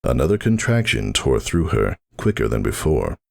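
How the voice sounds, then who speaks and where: Very deep, gravelly male narrator. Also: sing-song, moderate pace. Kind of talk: dramatic scripted story narration.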